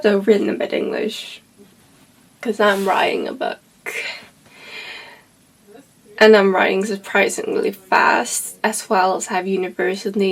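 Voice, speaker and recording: A woman's voice: medium pitch, creaky, a little hiss or hum, low-quality recording. A woman in her twenties, a native Swedish speaker with a Swedish accent, rambling casually about a favorite book with lots of fillers. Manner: rambling, heavy on fillers like "like" and "you know". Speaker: book vlogger.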